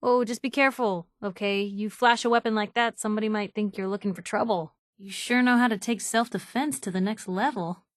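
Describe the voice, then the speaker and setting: A woman's voice: mid-range voice, fairly even intonation, brisk, few pauses, dry recording, studio quality, close to an everyday voice. An actress playing a plucky, wisecracking young woman reporter in a post-apocalyptic video game, with a snappy, colloquial, teasing way of talking. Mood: wry, cautioning. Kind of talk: video game companion voice acting.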